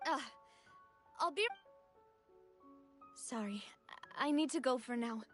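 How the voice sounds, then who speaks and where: Music plays behind a high-pitched young noblewoman from a video game. She leads her people with grace and grief, speaking earnestly and haltingly, with solemn weight on her words. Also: expressive. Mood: flustered. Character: grieving young noblewoman leader, gracious and earnest. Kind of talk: dramatic video game voice acting.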